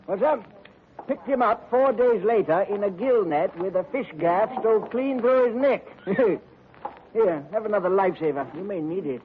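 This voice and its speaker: A salty old sea dog with a high voice, folksy and full of nautical slang. He sounds like a character from a 1940s radio play, on a noisy old broadcast recording. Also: lively intonation, moderate pace, narrow, tinny audio. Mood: darkly amused. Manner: folksy sailor talk, calls everyone matey. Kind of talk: 1940s radio drama acting.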